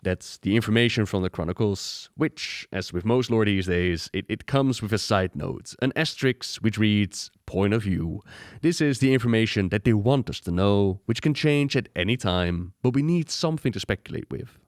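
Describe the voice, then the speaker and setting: A fairly deep male voice, recorded dry and close. He narrates as a video host, in a scripted explainer style. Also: animated, normal speed, no echo.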